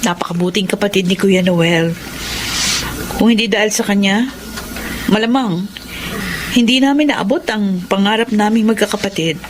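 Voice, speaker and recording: Earnest Filipino younger sister, played by an actress. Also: female voice, medium pitch, normal speed, pauses often, natural voice. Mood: earnest, persuasive. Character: earnest, loyal younger sister pleading for brother. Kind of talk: Filipino radio drama acting. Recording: noisy ambience behind the voice.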